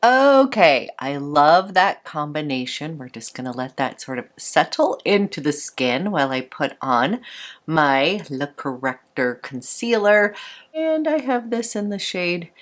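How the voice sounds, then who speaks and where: A woman beauty vlogger chatting brightly through a makeup tutorial, a little congested from a cold. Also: deep voice, very animated, slow. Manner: bubbly and chatty, fond of "a little".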